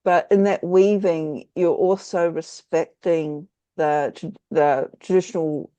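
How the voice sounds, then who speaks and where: An interviewer with a mellow, soft-edged tone, recorded very dry. The phrasing is reflective and halting, wandering through casual conversational questions at a middle pitch. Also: fairly even intonation, clear, resonant tone, dry recording. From Australia or New Zealand. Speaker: podcast host, interviewer.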